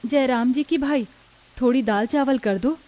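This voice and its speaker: A woman's voice: high voice, measured pace, dark tone. A woman voicing a poor, caring sister-in-law in a cartoon, with a humble, pleading manner.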